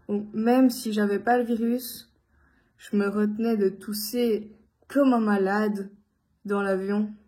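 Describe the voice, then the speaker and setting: Mellow, even-toned, hesitant woman. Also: medium pitch. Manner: hesitant, halting delivery with frequent long filled pauses. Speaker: vlogger addressing followers. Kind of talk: casual personal account.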